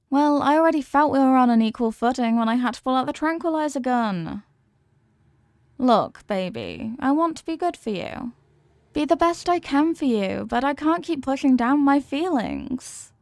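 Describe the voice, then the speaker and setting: A woman's voice: fairly high pitch, a little mellow, no echo, studio quality. A woman playing an obsessively loving, possessive wife in an intimate ASMR roleplay, coaxing and melodramatic, lingering on her words. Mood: controlling, smug.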